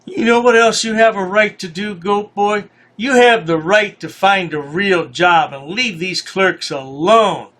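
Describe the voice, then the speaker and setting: A man's voice: sits high, bright, forceful tone. A man giving mocking commentary as a host, with a sarcastic, taunting manner. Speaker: host commenting on played clips.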